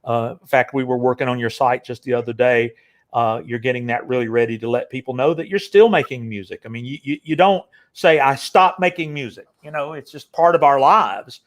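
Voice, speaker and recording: A man's voice: medium pitch, a little fast. An older man hosting a casual interview, talking in long rambling stretches full of fillers and restarts. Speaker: interview host.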